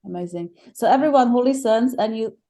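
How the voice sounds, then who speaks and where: A woman's voice: on the high side, fairly quick, mellow. Slovak-accented woman hosting a podcast. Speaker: podcast host. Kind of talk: casual interview chat.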